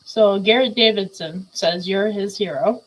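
A woman's voice: mid-range voice, measured pace, low-quality recording. A chatty woman hosting a casual talk show, laughing often as she asks questions. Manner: giggly, chatty. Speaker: podcast host. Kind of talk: casual chat.

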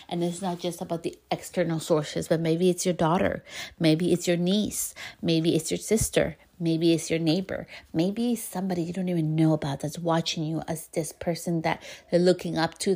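A woman's voice: sits low, darkish tone. A woman with a Spanish accent hosting a podcast, giving an earnest, emphatic motivational talk. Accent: Spanish-accented English. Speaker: podcast host. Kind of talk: motivational monologue.

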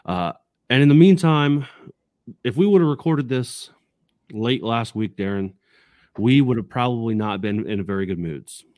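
A man co-hosting a casual sports podcast in an upbeat, excited way, recorded in a basement home studio. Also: medium pitch, moderate pace, no room sound. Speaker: podcast co-host.

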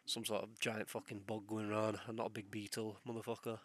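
Young male podcast host, ill with a sore throat, casual sweary ramble, low and monotone. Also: fast, dry recording. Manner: rambling, sweary, loses train of thought. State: sick, sore throat, sniffly.

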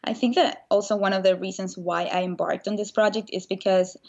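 A woman's voice: medium pitch, fairly even intonation, a little fast, darkish tone. A woman, telling personal stories openly as a podcast guest. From Venezuela. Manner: open, storytelling, laughs easily. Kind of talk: casual interview. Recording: slightly dull lo-fi audio.

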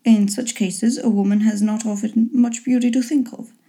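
A woman voicing a dry, teasing middle-aged husband and father in a storybook reading, with a deadpan, sardonic manner. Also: a little high, moderate pace, lightly acted. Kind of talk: storybook character voice in an audiobook reading.

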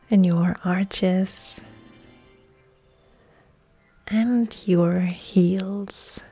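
Woman yoga teacher doing guided relaxation, soft soothing breathy voice, pretty even pitch, faint music behind. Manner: soft, breathy, soothing delivery with long pauses. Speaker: yoga instructor.

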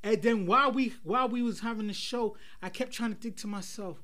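A man's voice: high voice, pushed and bright. A man hosting a casual panel discussion, asking questions and often repeating his words as he goes. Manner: halting, repeats words while steering questions. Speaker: podcast host.

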